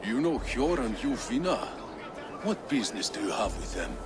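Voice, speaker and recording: Male actor as officious grasping dockmaster, video game, curt, lively swooping pitch, noisy outdoor background. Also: normal speed, lightly acted. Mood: guarded, sly. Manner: curt, dismissive. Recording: noisy outdoor harbor crowd ambience.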